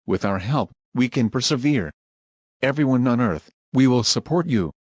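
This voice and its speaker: Heroic male rebel leader, speechmaking, acted by a man, middle pitch, slightly muffled dry recording. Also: expressive, moderate pace, breathy edge, no echo. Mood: resolute. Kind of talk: scripted speech.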